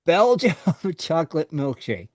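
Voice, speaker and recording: A man's voice: a little high, big pitch swings, measured pace, no room sound, studio quality. A man in his sixties hosting a live online radio chat, joking and laughing often. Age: 60s. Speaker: radio show host.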